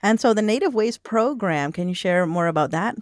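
A woman hosting and producing a radio interview show, reading lively scripted introductions. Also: medium pitch, fairly quick, no room sound, clean studio sound. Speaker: radio host.

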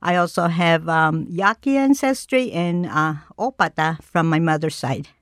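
A middle-aged woman from Mexico speaking as a cultural educator in a radio interview, measured with frequent pauses. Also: on the low side, lively intonation, no echo, studio quality.

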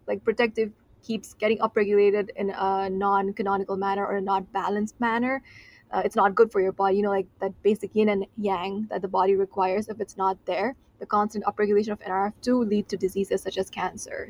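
A woman's voice: medium pitch, little pitch movement, dry recording. A young woman from Nepal whose first language is Nepali, a PhD student explaining her research in a radio interview, with frequent fillers. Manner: explains science slowly with fillers and hedges.

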